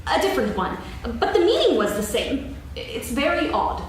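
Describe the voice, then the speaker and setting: Echoey, high-pitched bossy rabbit, played by a woman. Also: big pitch swings. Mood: brisk, officious. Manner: brisk, officious, emphatic. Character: bossy, self-important, bustling rabbit, eager to be in charge. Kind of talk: theatrical staged reading of a children's story. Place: on stage in a hall, recorded from afar. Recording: echoey hall, distant, faint noise.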